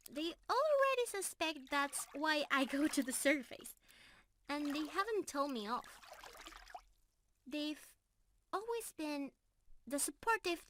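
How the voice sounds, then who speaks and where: High, sweet young mermaid, acted by a woman. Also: sharp tone, exaggerated voice. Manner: affectionate, breathy sighs and giggles, emotional. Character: young female mermaid, sweet, adoring, emotional romantic partner. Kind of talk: ASMR romantic roleplay.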